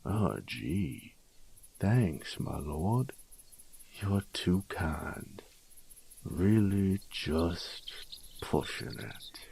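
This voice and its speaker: Man playing a deep, gravelly, grumbling bandit knight. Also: expressive, very slow, pauses often, darkish tone, slight background noise, exaggerated voice. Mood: grudging, sarcastic. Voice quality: low, gravelly. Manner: grumbling, folksy drawl, crude. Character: gruff, rustic, sardonic bandit turned knight, male, thick-skinned nonhuman. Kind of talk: audio roleplay drama.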